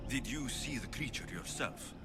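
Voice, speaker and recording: An actor playing a calm, determined warrior hero in a video game cutscene, speaking in a steady, measured way. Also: male voice, medium pitch, over music, natural voice. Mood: resolute. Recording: game cutscene with loud score behind.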